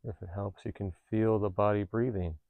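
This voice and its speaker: Deep-voiced man guiding a calm meditation. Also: vocal fry, no echo, phone-quality sound. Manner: calm, unhurried, reassuring. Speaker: meditation teacher. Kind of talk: guided meditation, gently instructing.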